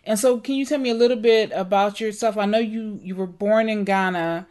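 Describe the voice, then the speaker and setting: A woman hosting a podcast, introducing the show and then interviewing a guest in a relaxed way, with frequent ums and restarts. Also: mid-range voice, brisk. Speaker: podcast host.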